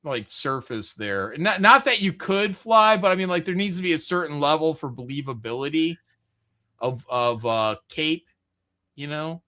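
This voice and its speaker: A man's voice: fairly high pitch, expressive, normal speed, sharp tone. A male streamer chatting casually in reaction to game cut scenes, blunt, slangy and sceptical.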